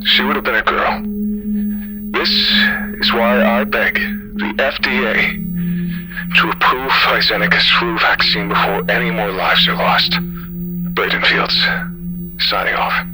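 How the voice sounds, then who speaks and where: A man's voice: medium pitch, expressive, a little slow, pauses often, loud music behind the voice, natural voice, rough recording. A man playing a grieving, determined news reporter in an audio drama. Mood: broken, pleading. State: grief-stricken.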